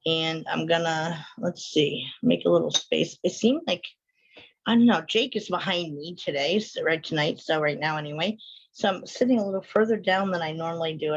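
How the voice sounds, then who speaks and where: A woman's voice: sits low, moderate pace, slightly rough, muffled recording. A woman hosting a live online show in casual, rambling, chatty talk, sounding sinusy and sniffly from allergies. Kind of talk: casual livestream chat with viewers.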